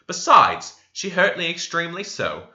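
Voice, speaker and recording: A man's voice: a little high, wildly swooping pitch, moderate pace, pushed and bright. A man reading literary narration expressively, as an audiobook reader. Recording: slightly dull lo-fi recording.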